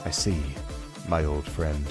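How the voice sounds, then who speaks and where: A man voicing a flamboyant, theatrical police official in a game fandub, drawling in a smoothly mocking, playful way. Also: a bass voice, little pitch movement, slow, over music. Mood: teasing, musing.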